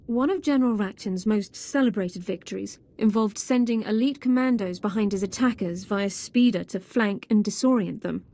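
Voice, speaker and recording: Woman playing a mellow, precise tactician. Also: medium pitch, natural voice. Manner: formal, measured, precise. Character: composed, analytical female military tactician. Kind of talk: video game voice acting.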